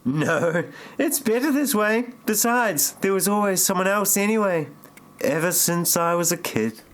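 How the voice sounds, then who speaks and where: Man playing high-voiced, lovestruck woman. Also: expressive, a little slow, a bit bright. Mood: sweetly romantic. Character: woman in love, sweetly romantic, slyly mocking. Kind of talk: amateur parody audio play acting.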